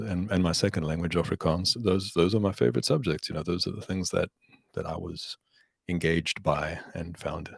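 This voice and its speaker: A middle-aged man speaks in a very low, unhurried voice, weighing his words as he talks about his craft. The sound is dry and close. He comes across as thoughtful and gracious. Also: no echo. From South Africa. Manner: reflective, measured, warmly thankful. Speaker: musician guest. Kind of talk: casual interview chat.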